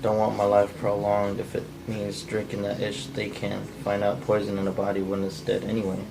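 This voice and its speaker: A man reads as a surly, challenging rough type. The voice is low and creaky with little movement in pitch. He speaks curtly, as in an amateur script read. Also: monotone, normal speed, rough recording. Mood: sceptical, surly. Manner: curt, colloquial, challenging. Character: gruff, sceptical, uneducated male killer. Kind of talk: cold table read of a script.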